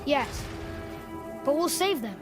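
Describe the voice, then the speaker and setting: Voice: high-pitched, animated, slow pace, over music. An actor voicing an eager, curious young boy adventurer in a video game, earnest and inquisitive. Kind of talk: video game dramatic acting.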